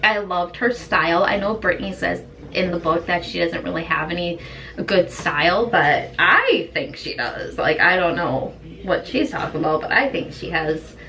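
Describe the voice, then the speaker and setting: A woman beauty vlogger with a hoarse, raspy voice, chatting in an easygoing, rambling way while doing her makeup at home. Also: on the low side, animated, normal speed, sharp tone, recorded from a distance. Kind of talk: chatty get-ready-with-me talk.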